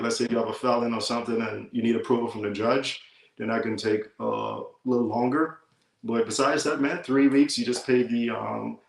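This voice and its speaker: A man's voice: medium pitch, creaky. A man from the Bahamas, chatting casually and informally as an easygoing podcast guest. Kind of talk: casual chat. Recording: roomy, echoing stream audio.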